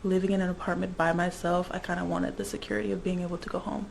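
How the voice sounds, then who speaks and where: A woman's voice: on the low side, level tone, quick pace, vocal fry, slight background noise. A woman in her twenties, born in the Dominican Republic and raised in Indiana, talking casually about her internship as an interview guest. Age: 20s.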